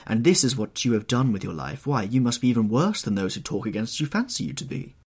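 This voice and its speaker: A man's voice: mid-range voice, very animated, moderate pace, darkish tone, slightly rough, pitched above the performer's own voice. A man voicing a horrified, anguished painter who pleads and moralises in an agitated, exclamatory way, in an audiobook story. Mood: appalled, anguished.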